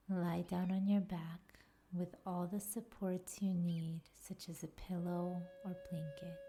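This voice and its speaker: A woman with a soft, breathy voice that often nears a whisper, guiding a meditation in a soothing, deliberate manner. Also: on the low side. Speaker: podcast host and yoga nidra guide. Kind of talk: guided meditation narration. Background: faint music.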